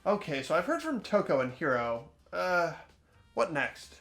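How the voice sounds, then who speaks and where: A young man hosting a game playthrough, switching between expressive scripted narration and casual commentary. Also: fairly high pitch, unhurried. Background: soft game music.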